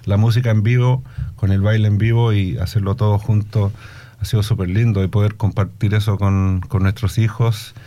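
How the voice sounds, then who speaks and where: A man's voice: lowish voice, level tone, normal speed, mellow. A middle-aged man with a Chilean accent touched by Australian English, speaking reflectively in an interview, searching for words and slipping English into his Spanish. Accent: Chilean Spanish with Australian English influence. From Australia, Chilean immigrant family. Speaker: musician and music educator being interviewed.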